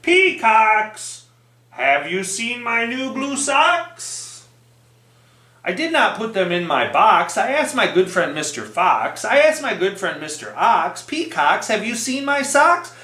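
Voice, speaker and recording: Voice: medium pitch, sing-song, sharp tone, heavily acted. A storytime reader voicing an earnest, fretful duck who speaks in singsong rhyme. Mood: pleading. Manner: slow, singsong rhyming speech. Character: earnest, fretful duck searching for lost socks. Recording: roomy, echoing sound.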